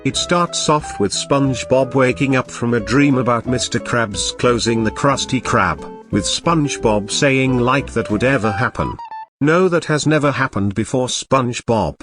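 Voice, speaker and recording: A man's voice: mid-range voice, measured pace, hardly any pauses. Chatty young man reviewing old games. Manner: chatty and enthusiastic, with joking asides. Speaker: video game reviewer. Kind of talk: casual game review voice-over. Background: game music playing.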